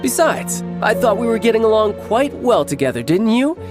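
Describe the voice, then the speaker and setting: Cocky, charming young game warrior: breezy, theatrical, very animated intonation, music underneath. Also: male voice, high-pitched, normal speed. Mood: breezy teasing. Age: young adult. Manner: breezy, smooth-talking, theatrical. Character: cocky, playful young warrior and doting big brother. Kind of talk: video game character acting.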